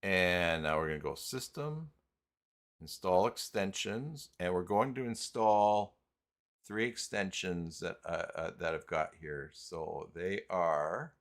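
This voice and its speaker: Deep-voiced man hosting a slow tutorial. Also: a bit bright. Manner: halting, thinking aloud while working. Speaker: host of live tutorial stream. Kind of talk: unscripted live software tutorial.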